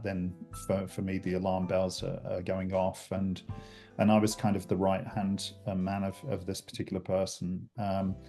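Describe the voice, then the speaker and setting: A man's voice: low voice, mellow. A middle-aged man from the UK with a British accent, talking reflectively as a podcast guest, with many fillers and restarts. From United Kingdom. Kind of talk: conversational interview.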